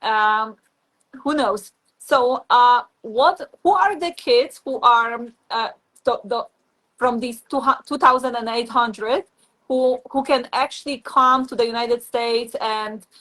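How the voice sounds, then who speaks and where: Polish-accented woman hosting, higher-pitched voice. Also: slow, a bit bright, rough recording. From Poland. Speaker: host. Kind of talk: casual online live interview.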